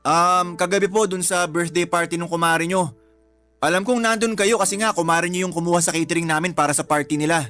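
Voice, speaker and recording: An actor with a Filipino accent playing an aggrieved grown son, pleading and indignant, in radio drama style. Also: male voice, sits high, fairly even intonation, fairly quick, sharp tone. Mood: hurt, earnest. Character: hurt, aggrieved grown son, a working-class waiter. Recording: faint music bed.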